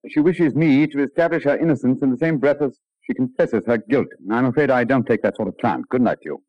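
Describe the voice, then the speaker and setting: An actor playing a coolly logical gentleman detective, crisp and authoritative, in a 1940s radio drama with dull vintage sound. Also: medium pitch, fairly quick, no echo, natural voice. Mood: aloof, dismissive. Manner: crisp, curt, authoritative, precise.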